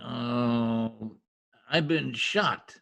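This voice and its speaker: A woman playing a shot, dying gangster informer in an old-time radio comedy-mystery, halting, groaning and urgent in a melodramatic way. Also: a bass voice, creaky, fairly bright tone, exaggerated voice.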